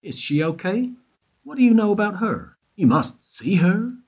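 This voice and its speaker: A man's voice: high-pitched, sing-song, normal speed, a little mellow, husky, exaggerated voice. An actor playing a persistent male investigator who needles a witness with questions in a radio play. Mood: probing. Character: probing male detective questioning a guard. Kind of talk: amateur radio play acting.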